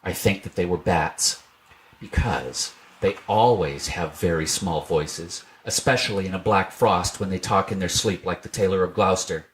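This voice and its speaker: A man narrating a children's storybook in an expressive, scripted reading style. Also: sits low, moderate pace, slight background noise. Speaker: storybook reader.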